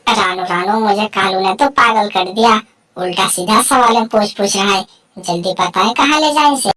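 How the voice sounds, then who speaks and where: A woman's voice: mid-range voice, normal speed, sharp tone. A woman voicing a fretful, scolding crow wife in a cartoon story, complaining and repeating herself. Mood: fed up. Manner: repetitive, complaining. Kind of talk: Hindi animated bird story dialogue.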